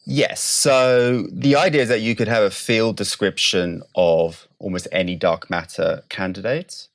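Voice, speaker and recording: A man's voice: lowish voice, fairly even intonation. A man speaking as a scientist guest in an interview, explaining carefully and precisely, step by step. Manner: measured, precise, qualifying definitions step by step.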